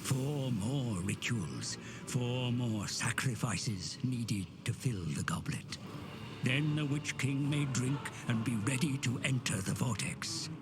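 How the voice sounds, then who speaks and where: Slow, grave male narrator over music. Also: medium pitch. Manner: slow, grave storyteller delivery with hushed whispered asides. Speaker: cutscene narrator. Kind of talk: scripted dramatic narration. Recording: studio narration over loud orchestral score.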